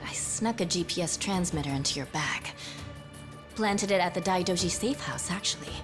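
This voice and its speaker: A woman's voice: lowish voice, moderate pace, lightly acted. An actress playing a cold, calculating, determined woman in a video game cutscene, controlled and cutting. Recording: music under the voice.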